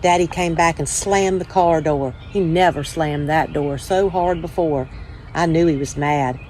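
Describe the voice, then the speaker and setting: Low-pitched woman reading aloud, noisy background. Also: unhurried, darkish tone. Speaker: church children's ministry director, story time host. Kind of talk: read-aloud story time for children.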